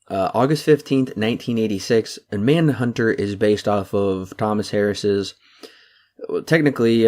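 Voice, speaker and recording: A young man co-hosting a podcast in casual chat, talking steadily and reeling off dates and figures. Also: fairly low pitch. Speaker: podcast co-host.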